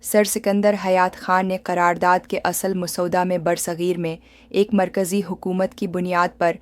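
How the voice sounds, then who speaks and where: A woman reading a scripted history documentary narration in a formal, measured manner. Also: fairly low pitch, flat delivery, no echo. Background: music under the voice.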